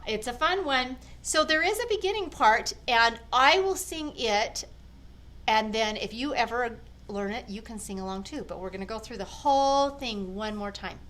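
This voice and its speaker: An older woman, a grandmother, gently reading a children's story aloud and talking to a child. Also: on the high side, animated. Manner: gentle, slow, speaking to a child.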